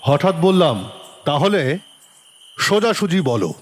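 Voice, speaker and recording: A man's voice: fairly high pitch, big pitch swings, takes it slowly. A man narrating a detective story dramatically in the first person, turning stern when he questions as the policeman. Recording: long reverb, faint music behind.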